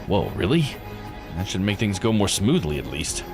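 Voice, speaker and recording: A gruff police detective from a visual novel, acted out by a man, speaks low and casually. He grumbles like someone tired of strange cases, over clearly audible music. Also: sing-song, moderate pace. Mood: uneasy. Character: gruff, world-weary male police detective. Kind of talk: voicing visual novel dialogue.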